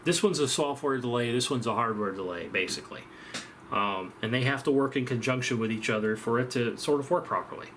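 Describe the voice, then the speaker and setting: Halting man explaining electronics, noisy recording. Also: medium pitch, fairly bright tone. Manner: halting, thinking aloud. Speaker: presenter of an electronics demonstration. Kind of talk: informal unscripted technical explanation.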